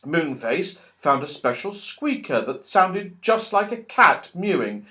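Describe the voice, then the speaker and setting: Very animated man reading a children's storybook. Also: a little high, slow. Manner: animated, playful, exclaiming at events. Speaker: audiobook reader. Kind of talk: expressive children's storybook narration.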